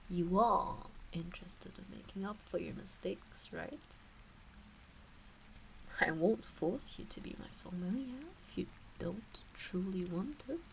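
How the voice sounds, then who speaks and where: A woman voicing a teasing, doting kitsune witch in an intimate ASMR roleplay, with a soft breathy voice that often slips into whispers and coaxing baby-talk. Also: mid-range voice, slight background noise, low-quality recording. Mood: coolly coaxing. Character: teasing, doting kitsune fox witch fussing over her pet. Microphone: close ASMR microphone.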